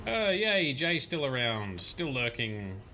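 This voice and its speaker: A man streams a game and chats easily with his viewers in a relaxed, supportive way. His voice is bright and forward at a medium pitch. Game music plays faintly underneath. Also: slow. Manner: relaxed, chatty and supportive, talking to chat while playing. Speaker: livestreamer. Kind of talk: casual gameplay commentary.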